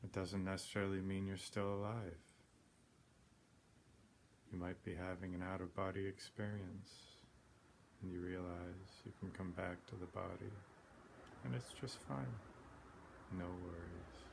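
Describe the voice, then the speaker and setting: A man leading a guided meditation in a plain-spoken, unhurried way, leaving long pauses between phrases. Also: deep voice, level tone. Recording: clearly noisy background ambience.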